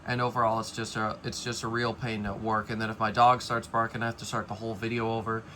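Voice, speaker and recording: A man's voice: on the low side, level tone, fast talker, bright, forceful tone, a little hiss or hum. A young man casually giving a rambling update to viewers of his vlog, with many fillers and restarts. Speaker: fan collector vlogger.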